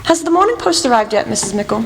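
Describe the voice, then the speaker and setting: A woman playing a brisk, learned, unsentimental lady detective in a radio play, recorded in an echoey hall. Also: a little high, very animated, a little hiss or hum. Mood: dry, brisk. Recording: echoey hall, music clearly behind.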